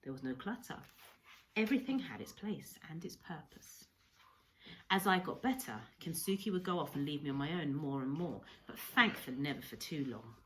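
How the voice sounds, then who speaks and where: A woman reading a storybook aloud like a teacher to pupils, in a measured, expressive storytelling manner. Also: deep voice, a little hiss or hum, rough recording. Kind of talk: read-aloud storybook narration.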